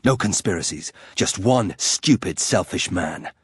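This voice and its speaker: Brooding low-voiced nobleman warrior. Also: animated, a little slow, husky, no room sound, exaggerated voice. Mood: bitter, resentful. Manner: formal, terse, bitter. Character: brooding, proud young nobleman turned reluctant warrior. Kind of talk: fantasy video game voice acting.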